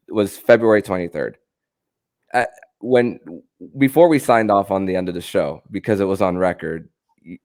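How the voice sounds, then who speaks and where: A man with a low, smooth, resonant voice hosts a sports podcast. He talks haltingly, often repeating and restarting his phrases as he addresses listeners and guest. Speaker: podcast host.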